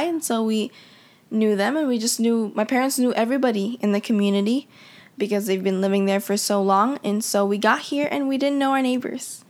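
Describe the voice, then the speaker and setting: A young woman who grew up in the Philippines, reflecting in a casual, conversational way with frequent fillers as she tells her own story. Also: mid-range voice. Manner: reflective, conversational, many "you know" fillers. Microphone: audio only, unseen.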